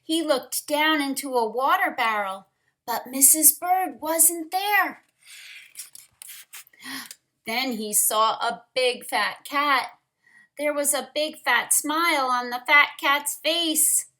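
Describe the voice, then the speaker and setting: Very slow, high-voiced woman reading to children. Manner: expressive, drawn-out words, wondering asides. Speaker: storytime reader for young children. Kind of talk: read-aloud picture book narration with asides to children.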